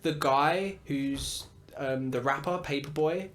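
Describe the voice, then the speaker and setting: Young male co-host, slightly raised pitch, eager casual chatter with loose swearing. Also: slow, fairly bright tone. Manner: enthusiastic, repeats praise words, swears casually. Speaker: podcast co-host. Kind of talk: casual chat.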